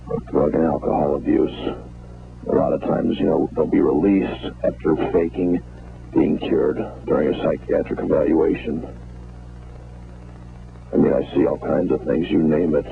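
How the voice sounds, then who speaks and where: Man on a tinny phone line, creaky. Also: rumbling low. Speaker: radio call-in prank caller. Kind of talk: phone-in call.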